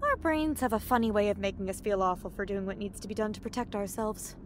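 A woman acting a supportive, reassuring friend in an audio drama, with a gentle manner. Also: mid-range voice, quick pace, slight background noise, natural voice. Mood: consoling. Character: supportive, reassuring female friend with playful side.

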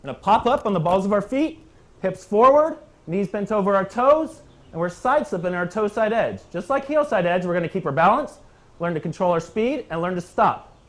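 A man in his thirties coaching beginners through snowboard moves in short, calm cues. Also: sits high, brisk. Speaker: snowboard instructor. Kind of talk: step-by-step instructional demonstration. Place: indoor training slope under a roof.

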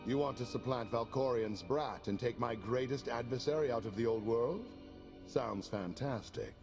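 An actor voicing a fanatical, imperious male cult leader in a video game, speaking deliberately and with menace. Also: mid-range voice, music in the background, lightly acted. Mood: scornful. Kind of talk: video game cutscene acting.

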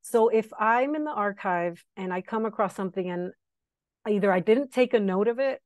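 A woman's voice: medium pitch, moderate pace, no echo. A woman with a Miami Cuban accent talks through an earbud mic on a video call from home. She is chatty, laughs easily and restarts her phrases as she thinks. The sound is close and dry. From Miami, Cuban-American. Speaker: guest historian. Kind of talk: academic seminar talk. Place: home room. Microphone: wired earbud mic on video call.

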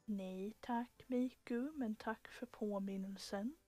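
A woman's voice: mid-range voice, fairly even intonation, dark tone, soft background music. A woman, an amateur voice actor chatting and joking casually between takes.

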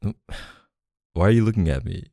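Man voicing a playful doting boyfriend, ASMR roleplay, dark mellow soft voice, teasing murmurs, close dry sound. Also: expressive, dry recording, heavily acted. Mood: teasing, bossy. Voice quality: low, gravelly, soft. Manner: teasing, murmuring, hesitant pauses and hums. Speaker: audio roleplay performer.

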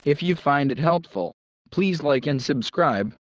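A man's voice: fairly high pitch, expressive, a little slow, very noisy. A man reading a scripted product tutorial as the presenter.

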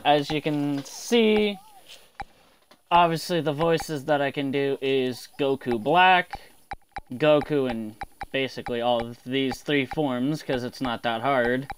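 A man talking casually on a livestream as an amateur voice actor, with faint noise and clicks behind him. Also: mid-range voice.

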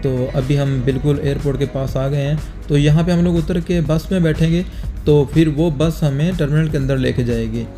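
A young man from Pakistan narrating a casual travel vlog at night outside an airport, talking calmly to viewers through a phone held at arm's length. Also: a little high, normal speed, soft background music. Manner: calm, explanatory, talks to viewers directly. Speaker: travel vlogger. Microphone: phone camera held at arm's length.

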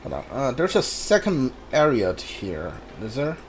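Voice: medium pitch, sing-song, a little slow, a little hiss or hum. A video game streamer giving casual commentary and reading on-screen dialogue aloud, muttering informal asides. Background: video game ambience.

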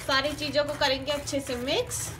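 A woman hosting a cooking channel, talking through a recipe one step at a time in a steady, teaching manner and repeating each step. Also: high voice, fairly quick, music in the background. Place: home kitchen. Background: sizzling and stirring in a pan.

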